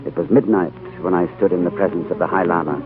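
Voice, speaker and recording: A man's voice: deep voice, fairly even intonation, fast talker, lightly acted. An actor playing an earnest, thoughtful younger man who narrates an adventure in 1940s radio drama style, with a dramatic, reverent delivery. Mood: reflective, hushed. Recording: tinny 1940s radio recording with music.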